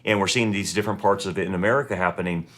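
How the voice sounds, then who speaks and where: A man's voice: deep voice, rapid-fire, a bit bright. A middle-aged man hosting an interview, asking long friendly questions with frequent restarts. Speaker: host.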